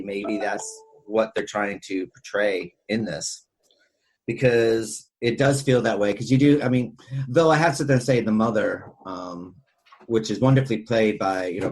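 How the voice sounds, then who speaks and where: Low, husky man hosting a podcast. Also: rough recording. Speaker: podcast host. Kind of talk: casual film discussion.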